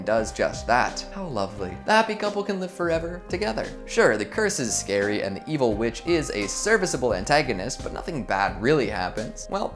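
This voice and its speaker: A man narrating a scripted countdown with casual, sarcastic and playful comic asides. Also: mid-range voice.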